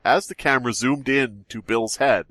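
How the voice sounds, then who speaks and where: A man co-hosting a podcast, reading a story aloud and chatting in a relaxed, teasing way. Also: medium pitch, moderate pace, no echo. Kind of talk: casual chat and story reading. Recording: dry close sound.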